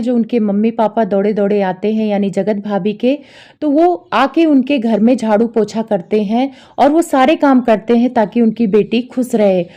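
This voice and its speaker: A woman's voice: fairly high pitch, mellow. A woman vlogger giving gossip commentary, speaking directly to her viewers. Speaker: reaction vlogger presenting recordings.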